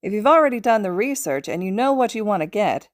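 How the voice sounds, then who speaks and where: A woman's voice: mid-range voice, animated, fast, dry recording, clean studio sound, voice pushed up, natural voice. A woman voicing an easygoing, good-humoured man in a story, talking in a casual, teasing, resigned way. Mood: amused, puzzled. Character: easygoing blond adult man, good-humoured former student.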